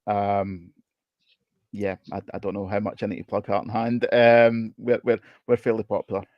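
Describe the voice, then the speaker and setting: A man's voice: fairly low pitch, monotone, normal speed, no room sound. A Scottish man guesting on a podcast over a video call, with dry, self-mocking humor. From Scotland. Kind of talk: casual podcast chat. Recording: dull, dry call audio.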